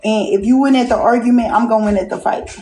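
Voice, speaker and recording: A woman's voice: fairly high pitch, steady tone, fast talker, warm tone. A woman on a reality show talking bluntly and profanely in rambling casual speech. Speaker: reality show cast member. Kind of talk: casual confessional chat. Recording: roomy clip with faint noise.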